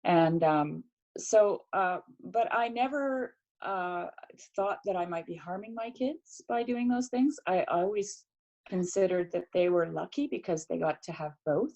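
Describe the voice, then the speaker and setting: A middle-aged woman talks things through in a relaxed, casual way, pausing often and restarting her sentences. Her voice is slightly low and mellow. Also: lo-fi sound. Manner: reflective, hesitant, many fillers and restarts. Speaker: podcast guest. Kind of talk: casual conversation.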